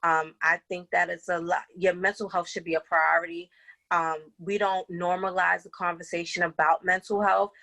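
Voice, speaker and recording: A young woman from the Bronx with a Bronx New York accent, speaking as a podcast guest and empowerment coach, earnest and pausing often with "um". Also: lowish voice, flat delivery, creaky, pushed and bright. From the Bronx, New York City. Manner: earnest, many "um" pauses, laughs easily. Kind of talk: casual interview talk.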